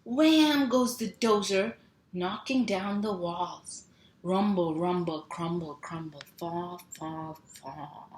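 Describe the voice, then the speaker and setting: A woman reading a picture book aloud to young children in a sing-song, animated way, stretching sound words and asking simple questions. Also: on the low side, slow. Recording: roomy echo, clear background noise.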